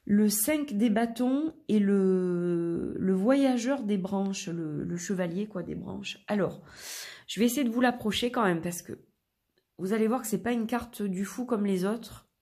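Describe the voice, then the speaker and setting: A woman with a head cold chats her way through a tarot reading, nasal and congested. Her hoarse voice is gravelly and full of vocal fry, with a husky, rough edge. She rambles along in a relaxed way and often trails off into fillers. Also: mid-range voice, measured pace. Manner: rambling, relaxed, many fillers and self-corrections. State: stuffy nose from a cold; hoarse with a sore throat. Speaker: tarot card reader. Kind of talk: casual chatty tarot reading to camera.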